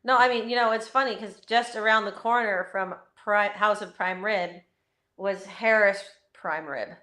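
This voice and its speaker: A woman's voice: medium pitch, fairly even intonation, moderate pace, pushed and bright. A woman co-hosting a casual chat show, playful and wry, laughing often. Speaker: co-host.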